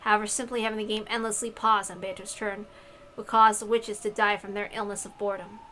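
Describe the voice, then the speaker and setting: Bright-voiced woman reading a game, noisy recording. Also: mid-range voice, flat delivery, fast talker. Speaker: streamer reading a visual novel aloud. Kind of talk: casual commentary on a game read-aloud.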